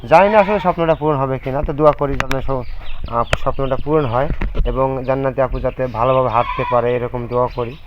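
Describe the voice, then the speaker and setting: A man with a Bangladeshi accent and an average-pitched voice presents an appeal on location. He speaks haltingly and often repeats himself. The sound is slightly muffled, with faint noise behind him. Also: fairly even intonation. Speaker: interviewer presenting a charity appeal. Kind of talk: informal on-location interview and appeal. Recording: muffled low-fi audio, background noise, bleating animals.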